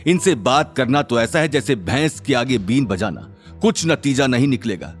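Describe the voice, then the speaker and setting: A male narrator as a middle-aged father in an audio drama, wounded and aggrieved yet respectful of family. His intonation is expressive, over soft music. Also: medium pitch, moderate pace, close to an everyday voice. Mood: bitter.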